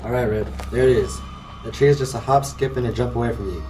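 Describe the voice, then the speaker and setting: A man's voice: medium pitch, fast, mellow, soft background music, close to an everyday voice. A man playing a goading, teasing boy among friends in an amateur audio drama.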